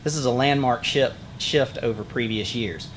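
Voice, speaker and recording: A man's voice: mid-range voice, moderate pace, slight background noise, poor audio. A man who is a state aviation official gives a plain-spoken ceremony speech at a podium outdoors, with folksy asides and frequent fillers. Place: outdoor groundbreaking site. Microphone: podium microphone.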